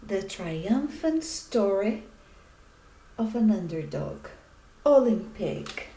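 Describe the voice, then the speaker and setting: A woman teacher reading a children's story aloud in a deliberate manner, speaking directly to young listeners. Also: mid-range voice, animated, dark tone, slight background noise, rough recording. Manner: deliberate, addressing children with questions.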